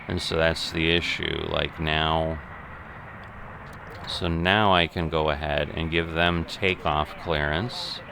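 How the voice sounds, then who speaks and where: A middle-aged man giving unscripted simulation game commentary, thinking aloud in a methodical, halting way. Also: very deep voice, fairly even intonation, gravelly, background noise. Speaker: gaming video host.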